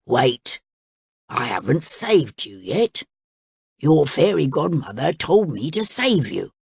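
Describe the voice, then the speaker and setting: A woman doing a slow, deep-voiced greedy crocodile. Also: sing-song, creaky, darkish tone, slightly rough, no echo, studio quality, full character voice. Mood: eager. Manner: slow, low drawl.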